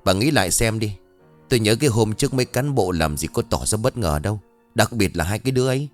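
A man voicing an older village fishmonger woman who gossips and scolds in brash, quick market talk. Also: lowish voice, animated, faint music behind, close to an everyday voice. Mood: gossiping.

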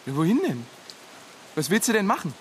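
Frustrated young worker, noisy ambience. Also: male voice, sits high, sing-song, fairly quick. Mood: urgent, frustrated. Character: frustrated young working-class man, blunt and disillusioned. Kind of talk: naturalistic German radio drama acting.

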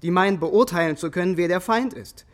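An actor playing a defiant, unrepentant soldier on trial in a radio drama, speaking in clipped, contemptuous phrases. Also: male voice, sits high, a little fast, close to an everyday voice. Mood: defiant, bitter.

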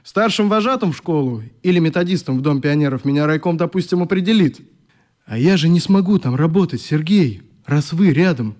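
A male actor plays a young youth leader in a radio drama. His voice is a little high for a man. He sounds conscientious, troubled and worn down. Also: animated, fairly quick, darkish tone, natural voice. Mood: resigned. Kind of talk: radio play acting.

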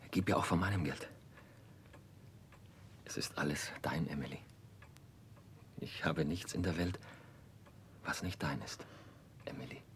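An actor playing a sturdy, gentle, devoted young working man in a 1960s German radio drama, speaking simply and soothingly in a hushed way. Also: very deep voice, measured pace, pauses often, a little hiss or hum. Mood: devoted, tender.

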